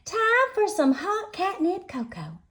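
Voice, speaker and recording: A woman's voice: very high-pitched, huge pitch swings, slow pace, fairly bright tone, voice pushed up, heavily acted. A woman voicing a doting but firm mother cat in a storybook, coaxing and singsong. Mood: calling cheerfully. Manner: coaxing, singsong, pet names.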